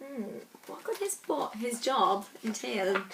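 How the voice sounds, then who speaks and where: A woman reading a children's story aloud, expressively and dramatically, with pauses and emphasis. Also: a little high, very animated, creaky, slight background noise.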